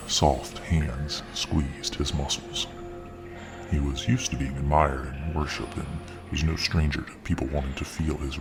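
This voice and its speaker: A man telling an immersive story in a soft ASMR delivery. His voice is extremely low and he speaks slowly. Music plays clearly behind him. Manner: slow, soft, deep. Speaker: narrator. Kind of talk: ASMR audio fiction narration. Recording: narration over clear music.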